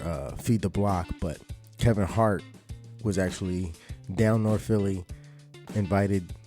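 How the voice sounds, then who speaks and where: A man hosting a podcast, talking through the intro and announcements in an easygoing, conversational way, a little hoarse. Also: deep voice, warm tone. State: slightly hoarse. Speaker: podcast host.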